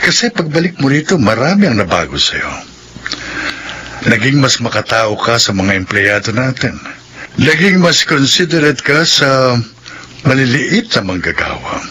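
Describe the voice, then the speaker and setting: Casting: earnest middle-aged dad and boss, radio drama, expressive swings, faint noise behind. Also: male voice, mid-range voice. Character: concerned, probing father and employer, middle-aged man. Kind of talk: Filipino radio drama acting.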